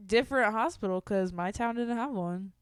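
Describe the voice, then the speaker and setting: A woman's voice: medium pitch, normal speed, clear, resonant tone, no echo, studio quality. A young American woman chatting casually as a guest on a podcast. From United States. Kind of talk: casual chat.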